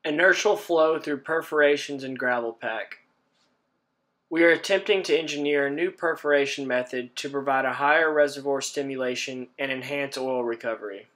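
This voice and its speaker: A young man from Texas, an engineering student reading a prepared class presentation in an even, scripted way. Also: mid-range voice, moderate pace. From Houston, Texas. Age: young adult.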